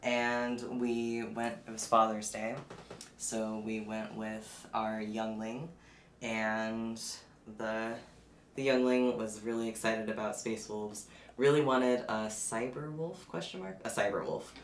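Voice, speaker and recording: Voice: medium pitch, fairly even intonation, takes it slowly. A young adult vlogger chatting informally to camera while presenting a haul, recorded indoors at a short distance from the camera. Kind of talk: casual unboxing chat to camera. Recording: roomy echo, clear background noise, clicks.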